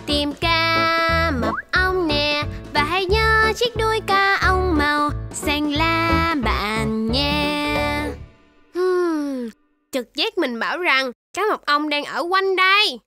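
Childlike baby shark, very high voice, slow sing-song delivery, eager cartoon hero. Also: female voice, over music. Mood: determined, cheerful. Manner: exclamatory, sing-song, addresses young viewers directly.

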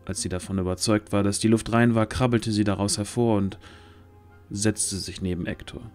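A man narrates a fantasy audio drama in a fairly low voice. The delivery is scripted storytelling, with quiet music underneath. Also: fairly even intonation, moderate pace. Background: faint music.

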